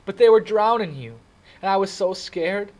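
A man's voice: mid-range voice, lively intonation, moderate pace, pitched above the performer's own voice, rough recording. A man voicing a frightened, guilt-ridden teenage boy in an audiobook, with a strained, quavering, slangy delivery. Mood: scared, distraught. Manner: anguished, blurting, slangy.

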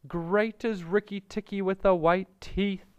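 A man's voice: high-pitched, steady tone, unhurried, clear, resonant tone, dry recording, voice pushed up. A man voicing a flighty, adoring male tailorbird in a storybook, gushing and grandiose. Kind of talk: storybook character voice.

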